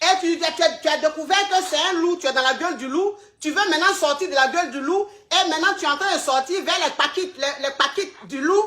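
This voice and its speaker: Woman host, high bright voice, blunt advice, repeats herself, says ma chérie. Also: quick pace, hardly any pauses. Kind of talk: live call-in advice chat.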